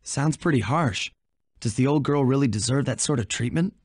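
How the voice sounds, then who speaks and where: An actor playing a suave, wry young raccoon thief in a video game, casual and confident. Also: male voice, mid-range voice, moderate pace, darkish tone, slightly rough, dry recording, clean studio sound, natural voice.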